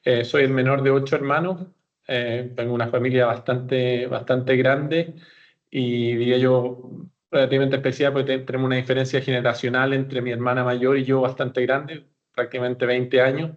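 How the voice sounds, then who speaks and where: A man's voice: medium pitch, flat delivery, moderate pace, dull audio. A man in his forties from Chile with a Chilean Spanish accent, answering interview questions conversationally and explaining with hesitations and self-corrections. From Santiago, Chile. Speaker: podcast guest, company executive.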